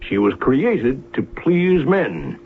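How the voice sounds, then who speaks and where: An actor playing a coldly arrogant, controlling inventor in a 1970s radio drama, gravelly and precise. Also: male voice, mid-range voice, sing-song, slow. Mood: haughty, dismissive. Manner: haughty, precise, dismissive. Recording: tinny, noisy old radio recording with hum.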